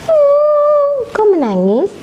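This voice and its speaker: A woman hosting a children's storytelling show, animated and coaxing, prompting a young storyteller. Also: very high-pitched, drawn-out words, lo-fi sound. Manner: animated, coaxing, often laughing. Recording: faint background noise.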